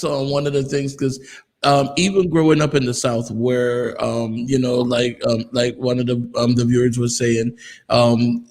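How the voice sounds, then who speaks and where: A male host with a casual, friendly manner who laughs easily and fills pauses with ums. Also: medium pitch, big-room sound. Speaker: host of interview show. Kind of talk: casual interview. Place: South Florida.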